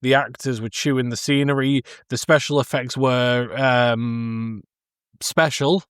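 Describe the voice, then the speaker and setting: A man's voice: medium pitch, steady tone, measured pace, clear, resonant tone, no echo, clean studio sound. A man in his thirties co-hosting a podcast, casually discussing film in hesitant, stop-start phrases. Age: 30s. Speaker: podcast co-host.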